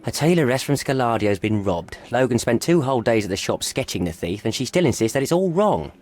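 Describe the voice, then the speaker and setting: A put-upon male police officer in a game cutscene. He is blunt and sardonic, griping in a gruff manner. His mid-pitched voice moves with animated, expressive swings. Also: fairly quick. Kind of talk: video game cutscene acting.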